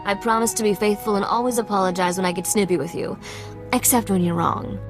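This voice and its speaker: A woman's voice: mid-range voice, steady tone, quick pace, music in the background, close to an everyday voice. An actress playing a sly, sarcastic young woman smuggler and royal heir in a video game, wry, teasing and self-assured. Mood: teasing, affectionate. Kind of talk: scripted video game dialogue.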